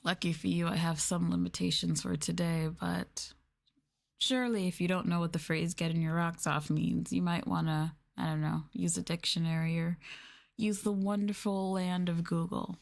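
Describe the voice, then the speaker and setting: A woman's voice: fairly low pitch, flat delivery, normal speed, creaky. A woman streamer doing an ASMR roleplay, talking down to her listeners in a mocking, teasing, sultry way. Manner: condescending, mocking, sultry taunting. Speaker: streamer, VTuber host.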